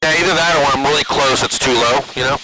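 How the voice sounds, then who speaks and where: A man's voice: mid-range voice, fast talker, sharp tone. A man working the radios as a pilot in a small plane, mixing easygoing, encouraging banter with radio calls over a headset. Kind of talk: casual cockpit chat and radio calls. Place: small plane cockpit in flight. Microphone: aviation headset intercom. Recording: noisy small-plane cockpit audio.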